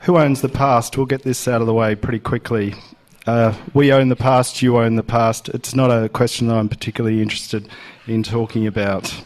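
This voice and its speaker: A man's voice: mid-range voice, level tone, a little fast. A man speaking as a guest author at a live public talk, plain-spoken and direct.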